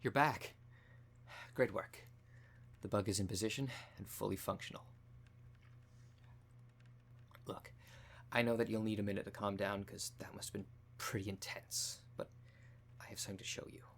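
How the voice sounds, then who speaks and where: A man's voice: fairly low pitch, steady tone, moderate pace, creaky, fairly bright tone, husky, exaggerated voice. A man playing a determined, protective undercover investigator in an audio roleplay, talking in a direct, earnest way. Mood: urgent, reassuring. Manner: direct, earnest, plain-spoken.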